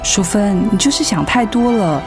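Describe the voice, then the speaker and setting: A woman playing a caring, gently admonishing friend in a radio drama, with a Taiwanese Mandarin accent. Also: mid-range voice, expressive, moderate pace, loud music behind the voice, close to an everyday voice. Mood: worried, reassuring. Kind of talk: dramatic radio play acting.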